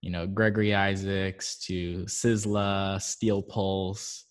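A man from Barbados, a guest on a casual chat show, telling stories in a relaxed way and laughing often, speaking into his own microphone. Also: low voice, takes it slowly.

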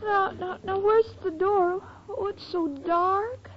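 A woman's voice: very high voice, slow, some chatter behind. An actress playing a dim-witted, timid, plaintive young woman in a 1940s radio sitcom. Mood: timid, confused. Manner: naive, literal-minded, plaintive. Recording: muffled, noisy old-time radio recording.

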